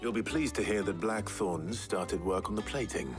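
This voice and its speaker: An actor playing a gruff, steady British leader in a video game, speaking tersely with dry understatement. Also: male voice, on the low side, normal speed, music in the background, natural voice. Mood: businesslike.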